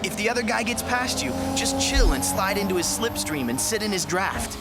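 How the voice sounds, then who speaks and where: A man's voice: sits high, normal speed, heavy background noise. An American man voicing a cocky, streetwise street racer in a video game, coaching a newcomer in slangy, hyped narration. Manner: hip, slangy, hyping. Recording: voice over loud music. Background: loud music.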